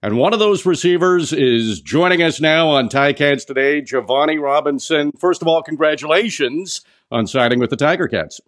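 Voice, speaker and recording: A man hosting a sports radio interview in a conversational way, pausing now and then as he frames his questions. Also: on the high side, fairly bright tone. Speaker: sports show host, interviewer.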